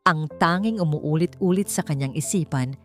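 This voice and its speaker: A woman's voice: sits low, moderate pace, faint music behind. A woman narrating a scripted romance story in a dramatic storytelling style.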